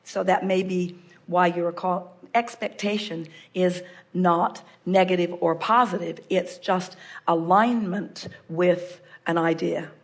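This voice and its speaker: An older woman giving a measured, explanatory seminar talk, referring to herself in the third person. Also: lowish voice, fairly even intonation. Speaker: spiritual speaker answering a guest. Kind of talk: live seminar channeling talk.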